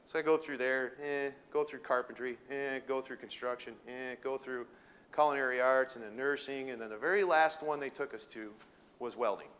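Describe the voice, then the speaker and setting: A man's voice: medium pitch, moderate pace, fairly bright tone. A middle-aged man from Detroit with a Minnesota accent, giving an informal talk to educators with lots of fillers and restarts. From Detroit, Michigan. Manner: folksy, rambling, many fillers and restarts. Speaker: welding sales manager presenting to educators. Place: room with an audience.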